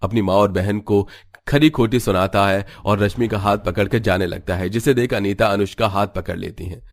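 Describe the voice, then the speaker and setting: A man narrating a scripted animated story. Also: fairly low pitch, moderate pace, no room sound.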